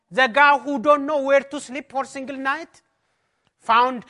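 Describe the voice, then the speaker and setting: Emphatic Ethiopian-accented pastor, bright voice. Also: male voice, sits high. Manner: emphatic storytelling in non-native English, dramatic swings. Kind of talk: conference talk, personal testimony.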